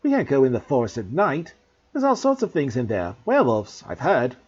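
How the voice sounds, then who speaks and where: A man voicing an arrogant spoiled schoolboy, high and whining with a nervous edge in a husky tone, on a dull lo-fi recording. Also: huge pitch swings, a little fast, darkish tone. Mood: scared.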